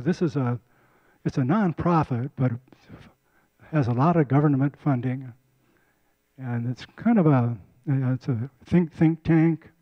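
A man's voice: a little high, no room sound, muffled recording. An older man giving a casual community lecture on a handheld mic, often repeating and restarting words. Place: lecture room.

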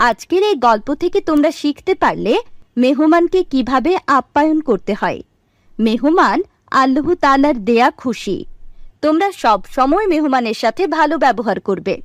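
A woman's voice: sits high, no echo. A woman reading scripted narration for a children's moral cartoon story. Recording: dry voice over faint music.

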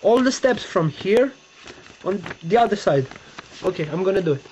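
Halting Romanian-accented young man. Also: high-pitched, animated, darkish tone. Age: young adult. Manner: halting, searching for words, repeats himself. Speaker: tutorial maker. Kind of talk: casual step-by-step demonstration. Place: bedroom, seated on a bed indoors. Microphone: webcam close-up. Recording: dull lo-fi webcam audio with faint hiss.

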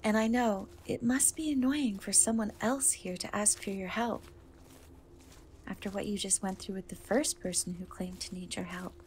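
A woman playing a gentle, wistful young woman cursed into a half-cat, in a soft, hesitant dark fantasy ASMR roleplay. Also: mid-range voice, a little hiss or hum, natural voice. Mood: apologetic, reassuring. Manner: hesitant, soft, slow, often trailing off.

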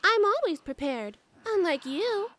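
A woman voicing a cocky, teasing, self-assured young woman in an animated webcomic dub. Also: very high-pitched, a little slow, heavy background noise, exaggerated voice. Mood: smug, teasing. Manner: playful, smug, sing-song teasing.

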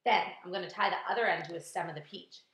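A woman voicing an earnest young boy in a children's storybook, speaking in a calm, measured, resolute way. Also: fairly low pitch, lightly acted. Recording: echoey, roomy sound.

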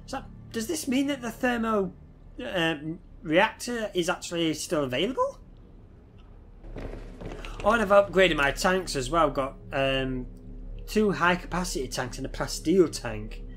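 Man with a cold, nasal, bright voice, casual gameplay commentary, headset mic. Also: sits high, lively intonation, a little slow, a little hiss or hum. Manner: swears often and talks to the creatures on screen. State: head cold, stuffy nose. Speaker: gameplay streamer.

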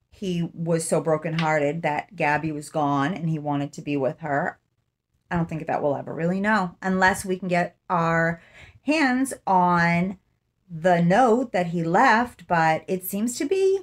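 Middle-aged woman, slightly low conversational voice. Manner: conversational, thinking aloud. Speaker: vlogger. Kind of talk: casual true-crime commentary to camera. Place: small room indoors, seated in an armchair.

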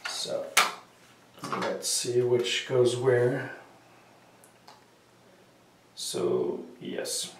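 A man with a slight cold talks slowly through a repair, dropping frequent "uh"s as his hands stay busy. He sounds set back from the mic in a roomy space, with faint noise underneath. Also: mid-range voice, big pitch swings, creaky. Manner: unhurried, many "uh" fillers, talking while working with hands. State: sniffly with a cold. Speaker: hobbyist repair tutorial maker. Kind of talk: casual step-by-step repair tutorial. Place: small room at a workbench, indoors. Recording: roomy, distant camera audio with faint noise.

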